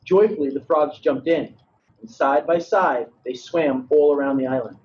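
A man's voice: a little high, normal speed, darkish tone, slight background noise. A man co-hosts a storybook session for children. He talks and reads as an educator does to a young group. The recording is a dull, muffled video call. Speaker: read-aloud co-host, educator. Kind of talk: storybook reading and friendly chat with children. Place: large meeting room indoors. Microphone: video call, distant from camera.

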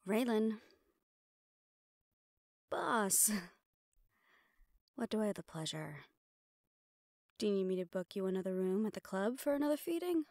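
A woman performs a fiercely loyal mafia boss's assistant in an audio roleplay. She is stressed and exasperated, clipping her phrases and swearing freely. Her mid-pitched voice sits close, with hardly any room around it. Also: lively intonation, unhurried, pauses often, darkish tone, no room sound. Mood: defensive, flustered.

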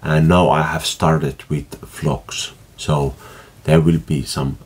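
A man in his thirties with a Finnish accent speaks to the camera from a small room at home. His voice sits very low and a little husky, and he talks slowly, stopping often with fillers and restarts. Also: flat delivery. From Finland. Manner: halting, many fillers and restarts, gestures with hands. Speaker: vlogger. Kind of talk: casual talk to camera.

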